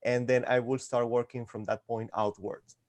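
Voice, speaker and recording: Guy, physics tutor, kinda halting, dry close sound. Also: mid-range voice, flat delivery, darkish tone, dry recording. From Venezuela. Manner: careful, slightly halting, with "uh" fillers. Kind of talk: casual interview answers.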